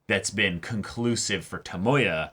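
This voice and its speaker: A man's voice: low voice, a little slow, sharp tone, breathy edge. A man co-hosting an anime reaction talk, rambling casually and acting out characters' lines.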